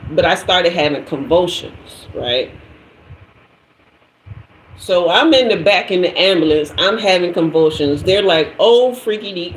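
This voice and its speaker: A woman, telling a story to a live audience, acting out everyone's voices in a lively way. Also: lowish voice, reverberant, slight background noise, poor audio. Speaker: tarot reader and host.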